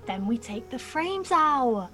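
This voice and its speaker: Cheeky brit 19 yo apprentice, slangy sarky, bit high voice, audio drama, faint music. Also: female voice, very animated, slow pace, fairly bright tone, exaggerated voice. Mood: curious, unsure. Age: young adult. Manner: flippant, sarcastic, slangy. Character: cheeky, mouthy nineteen-year-old woman, beekeeping apprentice. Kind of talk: naturalistic audio drama acting.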